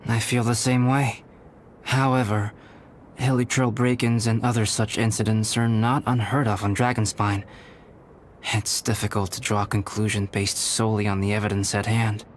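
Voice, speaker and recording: A male actor playing a calm, reserved young alchemist in a video game, speaking in a measured, formal, analytical way. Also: lowish voice, a little hiss or hum, close to an everyday voice. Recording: background music under the voice.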